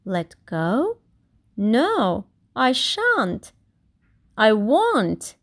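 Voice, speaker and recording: Female reader as anxious storybook koala, male, polite but reluctant, high and wavering in pitch. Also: wildly swooping pitch, very slow, exaggerated voice. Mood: scared, stubborn. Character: timid, anxious male koala who refuses to budge.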